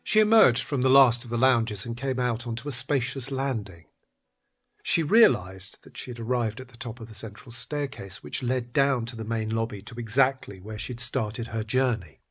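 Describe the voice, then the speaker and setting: A man reading scripted literary fiction in a husky, breathy voice that often drops toward a whisper, with measured, dramatic phrasing. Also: mid-range voice, expressive, a bit bright. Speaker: narrator. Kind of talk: scripted literary story narration.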